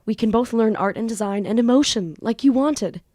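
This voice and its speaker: A woman's voice: fairly high pitch, fairly quick, mellow, lightly acted. A woman playing a flippant, theatrical teenage student magician in an audio drama, with a wordy, casual manner. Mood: eager, persuasive. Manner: casual teen banter, theatrical wordy narration. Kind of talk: audio drama acting and first-person narration.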